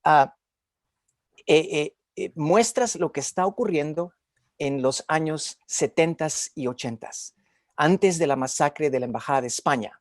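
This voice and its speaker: A man's voice: on the high side, measured pace, no echo, studio quality. An older man interviewing conversationally, giving long emphatic commentary and repeating phrases. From Guatemala. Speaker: interviewer, academic.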